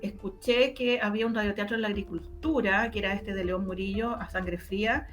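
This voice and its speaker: A woman's voice: mid-range voice, steady tone, brisk, faint music behind. A woman with a Chilean Spanish accent recounting her memories as an interview guest in casual talk, repeating words for emphasis. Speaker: interview guest, radio drama producer. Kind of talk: casual conversational recollection.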